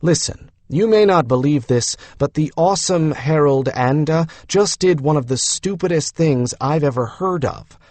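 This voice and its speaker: A man's voice: fairly high pitch, normal speed, few pauses, darkish tone, dry recording, close to an everyday voice. A man playing an easygoing, frank young man in an audiobook, talking in a casual, encouraging manner. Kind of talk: audiobook character voice.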